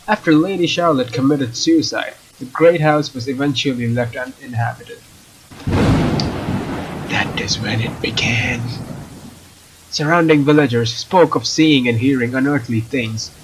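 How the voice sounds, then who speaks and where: A man's voice: mid-range voice, a little hiss or hum, low-quality recording. A young man hosting a let's play, commenting on a game and reading its text aloud in a casual, jokey way. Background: faint game music.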